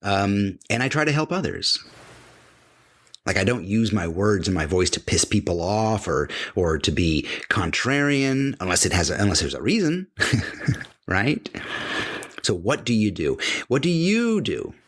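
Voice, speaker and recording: A middle-aged man with a low, husky voice speaks in an emphatic motivational monologue. His pitch swings widely as he repeats key phrases and slips into the voices of imagined people to make his point. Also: very animated, a little slow. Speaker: podcast host.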